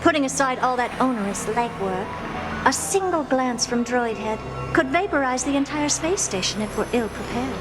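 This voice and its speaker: An actress playing a haughty, brilliant scientist in a video game, with a smug, theatrical, playfully condescending manner. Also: female voice, high voice, expressive, moderate pace, loud music behind the voice. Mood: lofty, amused. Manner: smug, theatrical, self-assured.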